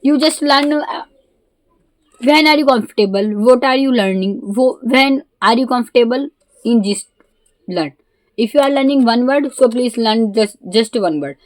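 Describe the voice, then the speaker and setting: A woman with an Indian accent, a Hindi native speaker, talking casually in halting learner English mixed with Hindi. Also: on the high side, dry recording, poor audio. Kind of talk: casual vlog.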